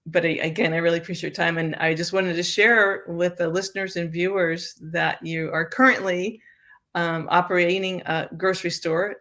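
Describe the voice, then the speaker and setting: A woman's voice: lowish voice, a bit bright. An older woman hosting an interview show, talking casually with many fillers and restarts. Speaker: host.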